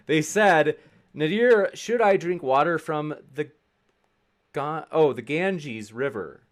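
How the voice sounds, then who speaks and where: A man's voice: a little high, slow. A man hosting a debate, informally and in a friendly way moderating and reading out audience questions.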